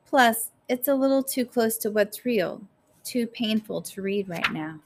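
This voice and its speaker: A woman's voice: on the high side, animated, a little slow, noisy background. A woman voicing a despairing young mother in an audiobook, emotional and fraying. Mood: weary sorrow.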